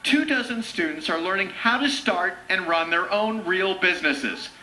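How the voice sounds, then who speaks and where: A man narrates a news package in a high, intensely bright voice. He reads from a script as a reporter, with faint noise behind him. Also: animated, normal speed, poor audio.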